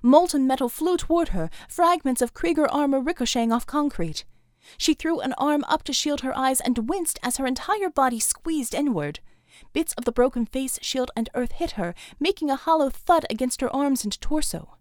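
A woman's voice: sits high, lively intonation, moderate pace, no room sound, studio quality. A woman reading scripted action fiction as a dramatic audiobook narrator.

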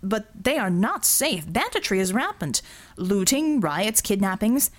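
A woman's voice: medium pitch, big pitch swings, unhurried. A woman voicing a deferential, fretful Indian businessman in an audiobook, formal and hesitant in manner. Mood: anxious. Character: anxious, deferential Indian businessman, stiff and easily offended.

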